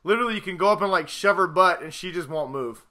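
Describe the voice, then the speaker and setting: A man's voice: high voice, fast, pushed and bright. A man co-hosting a video podcast, joking and teasing his co-host in casual banter. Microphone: video podcast mic.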